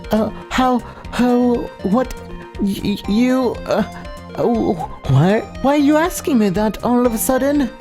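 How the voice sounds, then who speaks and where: A woman playing a flustered male defense attorney in a game, halting and repeating words as the character questions a witness. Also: a little high, lively intonation, music in the background. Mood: halting, puzzled. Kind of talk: amateur voiced playthrough of courtroom game script.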